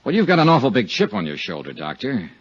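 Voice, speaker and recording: An actor playing a hard-boiled, dry, persistent insurance investigator in a 1950s radio detective drama, speaking in a clipped, wry, businesslike way. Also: male voice, medium pitch, wildly swooping pitch, fast, breathy edge, no room sound. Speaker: lead and narrator. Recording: dull 1950s radio recording with music.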